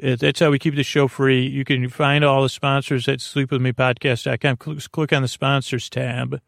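A man hosting a bedtime podcast in a creaky voice, meandering and halting as he loses his thread and circles back. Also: mid-range voice, flat delivery, dry recording, clean studio sound. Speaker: podcast host. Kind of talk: rambling bedtime podcast monologue.

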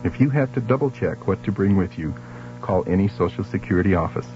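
Man, vintage radio announcer, scripted, deep, dark soft tone, muffled sound. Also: animated, fast talker. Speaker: public service announcer. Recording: dull vintage radio, faint music.

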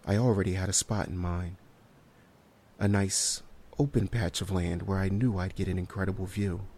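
Low, husky actor as a haunted male survivor. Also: darkish tone. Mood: wistful, troubled. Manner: hesitant, solemn, descriptive storytelling. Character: haunted male survivor recounting a harrowing night. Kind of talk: horror audio drama monologue.

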